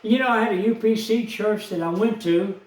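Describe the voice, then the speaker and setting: A man, telling a rambling personal story in a slow, aggrieved, folksy way. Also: sits high, far from the mic. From Texas, USA. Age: 70s. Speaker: vlogger. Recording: echoey room, off-mic.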